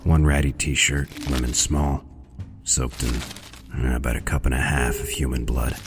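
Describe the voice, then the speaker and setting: Man acting a very deep, gravelly, sardonic sheriff. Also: moderate pace. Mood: deadpan. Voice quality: low, gravelly. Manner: dry, deadpan, laconic. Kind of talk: audio drama acting. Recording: music behind the voice.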